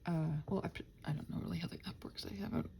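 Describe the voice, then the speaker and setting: Woman, playful and scattered ASMR creator, mellow with plenty of vocal fry, rambling casually and muttering while she works. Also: fairly low pitch, fairly even intonation, fast talker. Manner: playful, chatty, talks to her computer. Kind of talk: casual unscripted body-doubling ramble.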